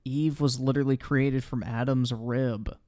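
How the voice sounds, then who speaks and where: A man's voice: medium pitch, steady tone, measured pace, warm tone. A man livestreaming casual reaction commentary in a blunt, profane manner.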